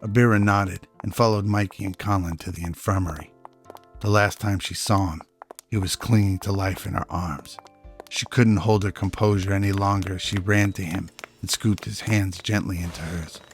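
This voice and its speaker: A low-voiced amateur actor as a male narrator tells a science fiction audio drama in measured prose. His delivery stays level, with little rise or fall, over music. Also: steady tone. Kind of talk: scripted radio drama narration.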